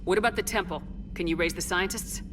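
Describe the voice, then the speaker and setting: Woman acting as female sci-fi game commander, slightly low pitch, steady, resolute, earnest. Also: fast, over music, natural voice. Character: determined, steady female military commander in space war.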